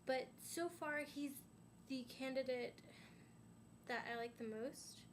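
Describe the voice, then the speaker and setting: A woman's voice: sits high, takes it slowly, slight background noise. A young woman chatting to her camera in a rambling way, full of "um"s and "like"s, a little stuffy and sniffly from a sinus infection. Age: young adult. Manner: rambling, many "um"s and "like"s. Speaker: vlogger. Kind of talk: casual chat to camera.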